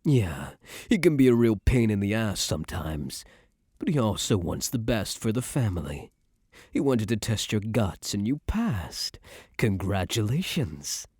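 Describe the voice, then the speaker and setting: Man playing a low-voiced doting young mafia boyfriend. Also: big pitch swings, unhurried, darkish tone, breathy edge, dry recording, studio quality, heavily acted. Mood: fond reminiscing. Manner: affectionate, slangy, streetwise, self-assured. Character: doting young male mafia heir, tough but tender boyfriend. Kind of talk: ASMR boyfriend roleplay, intimate scripted monologue.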